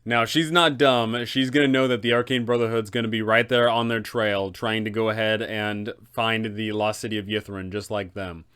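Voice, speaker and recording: A man's voice: fairly low pitch, steady tone, normal speed, hardly any pauses, fairly bright tone, clean tone. A man talking as an informal game master host, explaining things in a conversational, instructional way.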